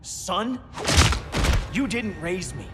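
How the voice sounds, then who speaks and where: A man's voice: sits high, big pitch swings, extremely slow, loud music behind the voice, exaggerated voice. A man voicing a brash, bitter young vigilante son in a game cutscene, cocky, combative and contemptuous. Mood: defiant. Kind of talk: cinematic game voice acting.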